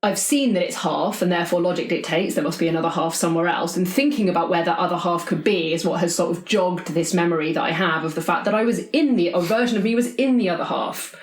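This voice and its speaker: A woman's voice: lowish voice, fast, few pauses. A woman casually talking through her character's actions as a player in a tabletop roleplaying game. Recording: echoey room.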